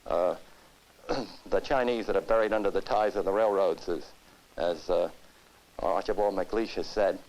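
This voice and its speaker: A man from the United States giving serious interview commentary, speaking deliberately in formal, rhetorical phrases. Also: low-pitched, darkish tone, low-quality recording. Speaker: expert interviewee.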